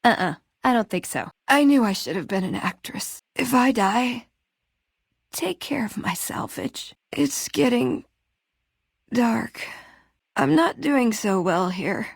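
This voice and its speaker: A woman's voice: on the high side, expressive, slow pace, creaky, husky, dry recording, clean studio sound, full character voice. An American actress playing a spirited, sarcastic young woman, a scrappy scavenger and brawler companion in a video game. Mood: weak, fading. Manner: sarcastic, playful, quippy. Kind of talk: video game dialogue acting.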